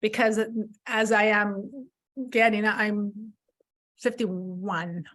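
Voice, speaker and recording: A woman's voice: mid-range voice, fairly even intonation, extremely slow, a bit bright. Candid woman in her fifties. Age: 50s. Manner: reflective, open about her past mistakes, repeats words as she restarts. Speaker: podcast guest. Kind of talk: candid conversational interview.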